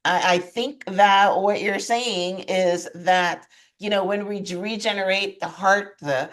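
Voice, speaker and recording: A woman from Guyana hosts a podcast in relaxed conversation, affirming her guest often. Her voice is mid-pitched and easygoing. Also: a little slow. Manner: warm encouraging interjections, laughs often. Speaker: podcast host. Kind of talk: casual interview chat.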